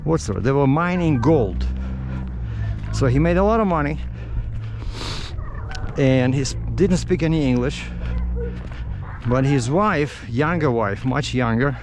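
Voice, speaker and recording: A middle-aged man with a Russian accent rambles through a casual story at an unhurried, slow pace. He often halts and searches for the next word. Light outdoor traffic noise sits behind his voice. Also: medium pitch, lively intonation. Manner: halting, searching for words. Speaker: vlogger.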